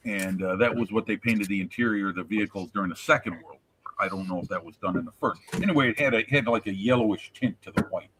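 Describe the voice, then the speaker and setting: A man's voice: on the low side, fast talker, a bit bright, rough recording. Rambling, stammering man with faint hiss. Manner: rambling, stammering restarts, jokey. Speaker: stream host and model builder. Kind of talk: casual live-stream chat.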